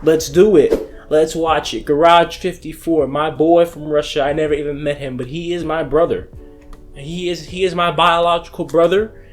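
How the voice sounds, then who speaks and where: Brash, excitable man with a higher voice. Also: moderate pace, faint music behind. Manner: excitable and brash, swears freely, jumps between loud and soft. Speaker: reaction video host. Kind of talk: casual reaction commentary.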